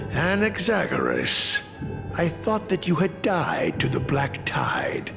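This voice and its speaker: A man's voice: a little high, very animated, slow, gravelly, exaggerated voice. An actor voicing a haughty, zealous male priest who speaks with stern contempt and threats, in a video game with music behind. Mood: scornful.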